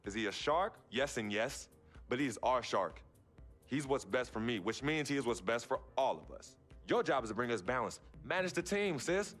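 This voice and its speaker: A driven young American sports star in a video game. His delivery swings widely in pitch while staying commanding and street-casual. Faint music sits behind him. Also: lively intonation, normal speed, a bit bright. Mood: stern, commanding. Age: young adult. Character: driven young male basketball star keeping the peace. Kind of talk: video game cutscene acting.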